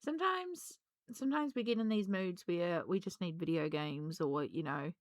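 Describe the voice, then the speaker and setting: A woman, slightly hoarse from a cold, chatting casually and rambling with many ums while she colours. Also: fairly low pitch, animated, normal speed, dry recording, studio quality. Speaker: colouring vlogger.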